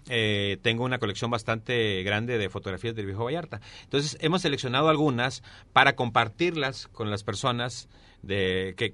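A man's voice: medium pitch, bright, forceful tone. A middle-aged man with a Mexican accent answering interview questions as a political candidate, emphatic and repeating key phrases for weight. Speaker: mayoral candidate and former newspaper columnist being interviewed. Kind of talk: interview answers, campaign pitch.

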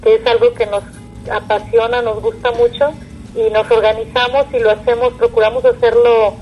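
A woman's voice: fairly high pitch, little pitch movement, moderate pace, lo-fi sound, soft background music. A woman from Mexico with a Mexican accent, speaking casually and conversationally as an interview guest. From Puerto Vallarta, Mexico.

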